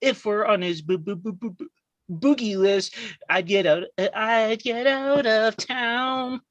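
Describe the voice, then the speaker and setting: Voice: mid-range voice, slow pace, a bit bright, no room sound, lo-fi sound. An adult performer plays a mischievous child henchman in a scripted table read. The kid is bossy and cruel, stumbling heavily over first sounds, in a dry, close recording. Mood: menacing. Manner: heavy stutter on first sounds. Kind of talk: live amateur table read of a film script.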